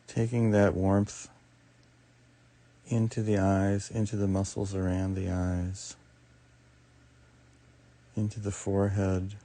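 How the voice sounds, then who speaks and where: A man with a mellow, creaky voice leads a guided meditation. He speaks very slowly and soothingly, leaving long pauses between short phrases. His instruction is soft and unhurried. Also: low voice, little pitch movement. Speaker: meditation teacher.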